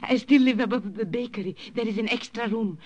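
A woman's voice: on the high side, brisk, darkish tone, close to an everyday voice. A woman playing a kindly old German grandmother who runs a bakery, with a German accent, in old radio-drama style. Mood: welcoming. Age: older. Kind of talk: 1950s radio drama acting. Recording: muffled old radio recording.

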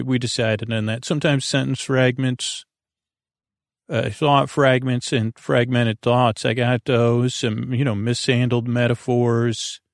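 A man with a gravelly, creaky voice at a middling pitch, recorded dry and close. He hosts a bedtime podcast, talking straight to the listener in a whimsical, wandering monologue. His sentences trail into fragments and hesitant detours. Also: no echo. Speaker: host of a bedtime sleep podcast. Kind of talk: rambling, off-topic monologue to the listener.

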